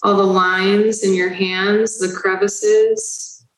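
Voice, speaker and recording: Female meditation guide, slow soothing delivery, flat even tone, echoey room. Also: mid-range voice.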